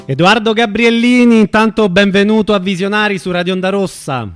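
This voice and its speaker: A man's voice: high voice, steady tone, fairly quick, fairly bright tone, smooth, soft background music. A man hosting a live radio interview in an informal way, often feeling his way through his questions. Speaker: radio show host interviewing film guests.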